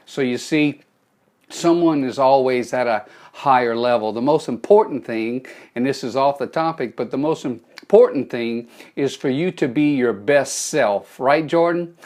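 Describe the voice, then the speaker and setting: A man's voice: mid-range voice, animated, measured pace, a little mellow. A middle-aged man with a Cajun accent, hosting a live phone stream, giving a folksy motivational talk and greeting viewers by name. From South Louisiana.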